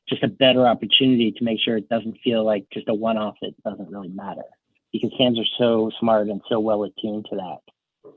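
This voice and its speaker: A man's voice: mid-range voice, no echo, phone-quality sound. A man speaking casually as a writer guest on a podcast, often interrupting himself and joking.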